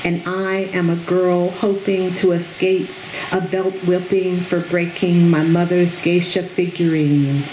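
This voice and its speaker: A middle-aged woman reading her own poetry from a podium at an outdoor tent stage, in a measured, deliberate way. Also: lowish voice. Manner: slow, measured, deliberate delivery. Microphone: podium microphone on a stand. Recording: roomy sound with steady background noise.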